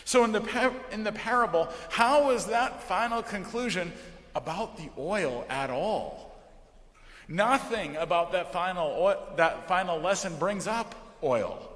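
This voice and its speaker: A man preaching a sermon as a pastor, speaking deliberately and reflectively, with emphatic pauses and questions. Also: high voice, a bit bright. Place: large church during worship service. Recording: reverberant church hall.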